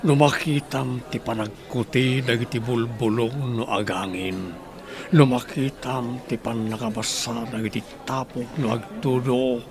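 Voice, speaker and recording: A man's voice: mid-range voice, lightly acted. A Filipino man acting an earnest character in a radio drama. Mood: earnest, romantic.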